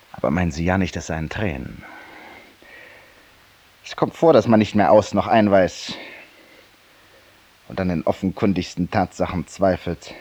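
An actor in a 1950s German radio drama playing a cynical, self-accusing former lawyer who confides in a stranger with theatrical fervour and hush, in a husky voice. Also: male voice, sits low. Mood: self-doubting, pleading.

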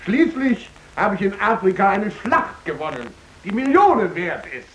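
Boastful moneyed man in vintage radio drama: high, very animated, echoey. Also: normal speed. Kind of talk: old German radio play acting. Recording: roomy, faintly noisy archive radio play.

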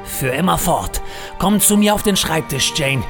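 Pompous, swooping office adventurer over music. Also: male voice, on the high side, expressive, a little slow, creaky. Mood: rousing. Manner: pompous, grandiose declamation. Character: heroic, melodramatic male office adventurer. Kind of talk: German comedic radio play acting. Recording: music behind the voice.

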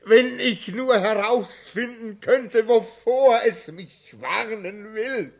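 An actor playing a haunted, anxious railway signalman in a 1950s radio drama, halting and agitated, swinging between hushed dread and crying out. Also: sits high, vocal fry, heavily acted. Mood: desperate. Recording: muffled-edged vintage 1950s radio recording.

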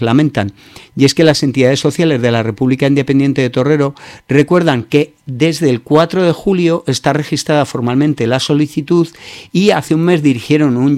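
A man's voice: mid-range voice, darkish tone, no room sound. A man with a Peninsular Spanish accent hosting a radio show, giving opinionated news commentary in an informal, hesitant way.

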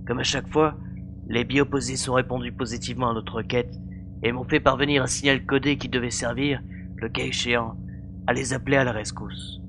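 A man performs a self-assured military commander in a science fiction audio story. He speaks at middling pitch in formal, measured, commanding phrases with dry mockery. Clear background noise and hum run underneath. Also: natural voice. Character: authoritative male space major, confident, dryly mocking commander. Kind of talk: acted audiobook dialogue.

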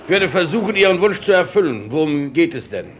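An actor playing a courteous, formal and helpful airport director in a German radio crime drama. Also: male voice, fairly high pitch, big pitch swings, brisk. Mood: courteous, obliging. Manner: formal, obliging. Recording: clear background noise and ambience.

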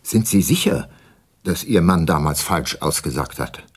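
Deep, husky, weary older detective. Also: male voice, lively intonation, no room sound, full character voice. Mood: probing. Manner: sober, measured, reflective. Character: weary, guilt-laden male private detective, ageing former prosecutor. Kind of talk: first-person radio play narration and dialogue.

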